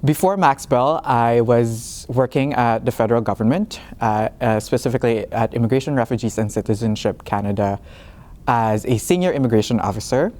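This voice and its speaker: A man's voice: medium pitch, fairly quick, a little mellow. A young man from the Philippines, a master's student, talking about his program in a casual, hesitant way with many fillers and restarts. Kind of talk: casual talk to camera.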